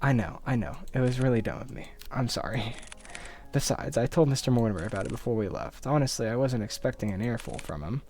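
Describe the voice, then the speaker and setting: A man's voice: mid-range voice, fairly quick, darkish tone, slight background noise, natural voice. A man voicing an earnest, sheepish and grumbling male private investigator in a visual novel.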